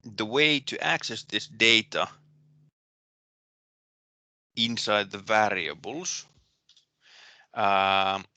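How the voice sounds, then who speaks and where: A man with a Finnish accent giving a recorded programming lecture, pausing often with ums and restarting his sentences. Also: lowish voice, sharp tone, no room sound. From Finland. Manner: hesitant, many ums and restarts. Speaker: university lecturer.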